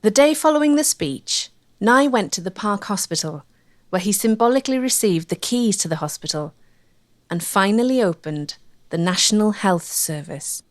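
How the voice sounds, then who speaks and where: A woman's voice: medium pitch, animated, normal speed. A woman narrating a history documentary from a script.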